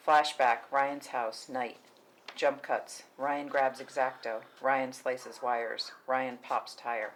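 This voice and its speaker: A woman's voice: extremely low pitch, steady tone, slow, a little hiss or hum, rough recording. A woman narrating a film script's stage directions at a table read.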